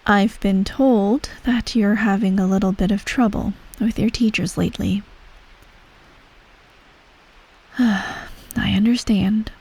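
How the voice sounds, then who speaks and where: A woman playing an imperious, self-righteous empress who dotes on her child, speaking softly and formally in a bedtime ASMR roleplay. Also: medium pitch, gravelly, breathy edge. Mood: tender, reassuring. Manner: soft, measured, formal, gently condescending. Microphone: close, dry microphone.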